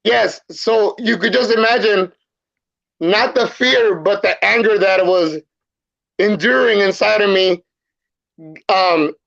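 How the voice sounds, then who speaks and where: A man's voice: high voice, takes it slowly, vocal fry, long pauses, a bit bright. A man from El Salvador, a podcast guest telling his life story casually, using street slang and repeating phrases. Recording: muffled lo-fi sound.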